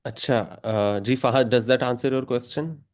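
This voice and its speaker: A man's voice: mid-range voice, normal speed. A man moderating a webinar question session, courteous and switching between English and Hindi-Urdu. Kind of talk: moderating a live Q&A.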